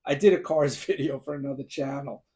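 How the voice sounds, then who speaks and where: A man in his fifties with a gruff, worn voice, enthusiastically ranking albums and listing songs to the camera. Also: mid-range voice, fairly quick, mellow. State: gruff, strained voice. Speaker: music video host ranking albums. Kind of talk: casual talk to camera.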